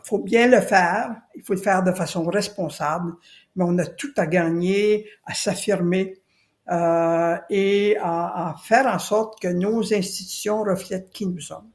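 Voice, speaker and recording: A woman's voice: lowish voice, unhurried, a little mellow. A woman from New Brunswick, speaking earnestly as a podcast guest and repeating her key points for emphasis. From Acadia, New Brunswick. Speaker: guest, citizen committee spokesperson. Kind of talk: podcast interview.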